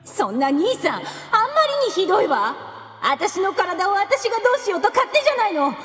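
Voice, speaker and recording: An actress in a radio drama playing a hurt, defiant younger sister, indignant and emotional. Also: female voice, extremely high pitch, very animated, normal speed, a bit bright, faint music behind, exaggerated voice. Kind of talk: Japanese radio drama acting. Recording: echoing reverberant hall sound.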